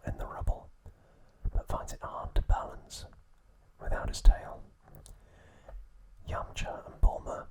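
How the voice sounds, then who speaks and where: A man narrating a recap as an ASMR host in a soft, unvoiced whisper, in short deliberate phrases. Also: low-quality recording.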